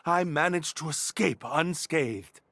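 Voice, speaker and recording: A man's voice: a little high, slow pace, a bit bright. A man voicing an earnest, polite and hesitant young prisoner in love, in a video game. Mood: earnest, relieved.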